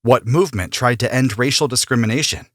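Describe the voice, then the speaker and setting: A man playing an immigration officer who asks formal, steady questions in a scripted practice interview. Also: mid-range voice, a little fast, no room sound, close to an everyday voice. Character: male immigration officer, businesslike and neutral. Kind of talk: scripted role-play interview.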